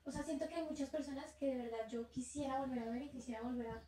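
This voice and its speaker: A woman's voice: high voice, fairly even intonation, fast talker, mellow. A young woman with a Colombian Spanish accent, chatting reflectively about travel as a vlogger. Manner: chatty, reflective, laughs easily. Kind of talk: casual chat. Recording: echoey room with faint noise.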